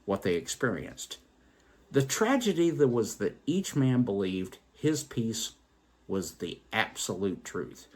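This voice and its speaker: A man telling a story and reflecting on its moral as host, in a theatrical, emphatic, deliberate way. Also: fairly low pitch, sing-song. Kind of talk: dramatic poetry recital and moral reflection.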